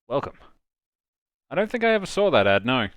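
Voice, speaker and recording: A man hosts a live stream in casual, joking chat. His pitch is mid-range but moves around a lot, and the sound is dry and close. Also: very animated, a little fast, fairly bright tone, no room sound. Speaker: host of a live dubbing stream.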